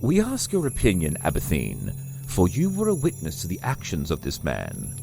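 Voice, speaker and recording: An actor playing a calm male keeper of the afterlife who speaks in a measured, formal, persuasive way. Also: low voice, creaky, over music, heavily acted. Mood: reasoning.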